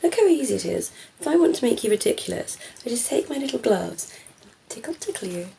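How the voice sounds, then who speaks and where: A woman playing a cheeky, flirtatious female vampire in a soft, teasing ASMR roleplay, often slipping into whispers. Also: fairly high pitch, big pitch swings, quick pace, creaky, a little hiss or hum. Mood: playful teasing.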